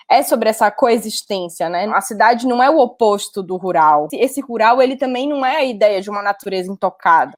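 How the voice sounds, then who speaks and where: A woman researcher, giving reflective, analytical commentary. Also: medium pitch. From Salvador, Bahia, Brazil.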